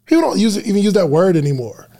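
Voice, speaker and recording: A man from New Jersey with a New Jersey accent, telling stories as a podcast guest in a relaxed way with many restarts. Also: high-pitched, fast talker. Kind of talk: casual podcast conversation.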